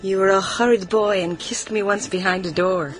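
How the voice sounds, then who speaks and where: A woman's voice: medium pitch, steady tone, normal speed, natural voice. A woman playing a worldly, playful young countess in a period audio drama, languid and teasing. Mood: teasing, nostalgic.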